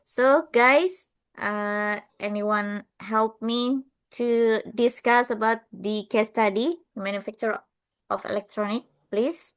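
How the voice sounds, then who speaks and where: A woman with an Indonesian accent reads a case study aloud to her class. She goes slowly and carefully, with small slips along the way. Her voice sits fairly high. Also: steady tone, smooth. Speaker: student reading a case study.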